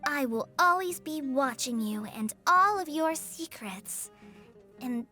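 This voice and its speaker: A woman voicing a tiny, chatty, childlike fairy companion in a video game, squeaky and bubbly. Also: expressive, slow, pushed and bright, full character voice. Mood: curious. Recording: game dialogue with music behind.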